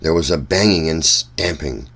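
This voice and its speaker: A man reading a children's story aloud as narrator, with deliberate, measured phrasing. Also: very deep voice, fairly bright tone.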